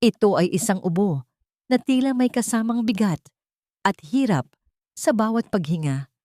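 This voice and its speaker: A woman's voice: lowish voice, a little mellow, no echo, studio quality. A woman reading dramatic scripted story narration as an audiobook narrator.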